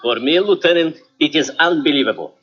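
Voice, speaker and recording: A man's voice: fairly high pitch, expressive, fairly quick, a bit bright, slightly rough. An actor playing a proud, formal club doorman of many years, speaking emphatically in a 1940s radio drama. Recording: dull lo-fi old radio recording.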